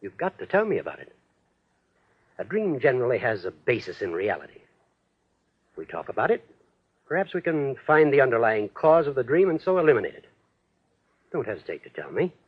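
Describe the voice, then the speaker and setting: An actor playing an earnest, insistent physician in 1940s radio drama, with formal, deliberate, persuasive diction. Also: male voice, mid-range voice, sing-song, husky. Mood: urgent coaxing. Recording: dull old radio drama recording.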